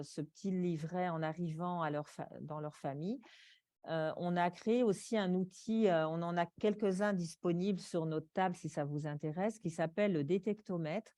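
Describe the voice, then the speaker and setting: A woman presents to a conference in a fairly low voice with a mellow, relaxed tone. Her delivery is explanatory, with hesitations, and the sound is dry. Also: dry recording. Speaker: presenter from an advocacy organisation. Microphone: microphone.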